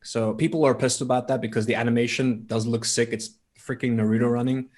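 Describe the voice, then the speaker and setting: A man's voice: medium pitch, fairly even intonation, a little fast, a little mellow. A man co-hosting a gaming podcast who explains things eagerly and gives friendly tips. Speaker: podcast co-host. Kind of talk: casual gaming podcast chat.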